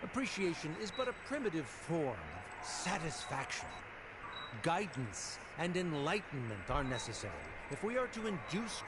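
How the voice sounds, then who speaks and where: A haughty lecturing scholar. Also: male voice, high voice. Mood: condescending. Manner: formal, lecturing delivery. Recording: heavy background noise.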